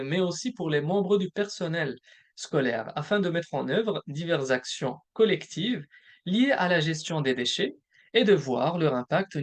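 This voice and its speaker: A man presenting a recorded webinar as a program manager, explaining points one by one in a measured way. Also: a little high. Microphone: recorded presentation voice-over.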